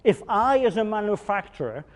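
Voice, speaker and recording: A man's voice: sits high, big pitch swings. Polish-accented man lecturing in a reverberant hall. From Poland. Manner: explanatory, pauses on fillers and restarts. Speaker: engineering workshop presenter. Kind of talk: technical lecture. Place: conference room.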